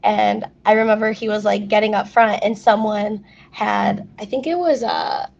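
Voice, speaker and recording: A young adult woman, a native Spanish speaker, chatting as a fitness athlete guest, telling stories in an animated way with frequent laughs. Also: medium pitch, moderate pace, creaky. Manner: animated storytelling, laughs often. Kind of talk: casual interview chat. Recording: dull lo-fi audio.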